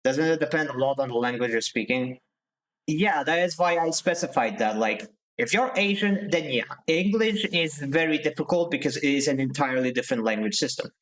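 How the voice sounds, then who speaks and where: Ranting young man, higher voice. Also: expressive, a little fast, fairly bright tone, low-quality recording. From Slovakia. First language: Slovak. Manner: heated ranting with profanity and restarts. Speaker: streamer. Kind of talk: casual live-stream commentary.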